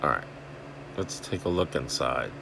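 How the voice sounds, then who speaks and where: A man's voice: rumbling low, expressive, moderate pace, gravelly, background noise. A man giving casual, rambling hands-on repair commentary, thinking aloud in informal, salty language. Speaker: host of a radio repair video. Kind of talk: casual hands-on commentary while inspecting equipment.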